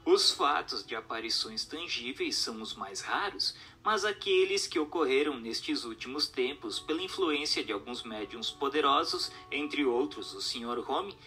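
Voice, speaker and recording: A man's voice: medium pitch, lively intonation, moderate pace, hardly any pauses, sharp tone. A Brazilian man reading a book aloud as scripted narration, in a formal, didactic manner. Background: background music.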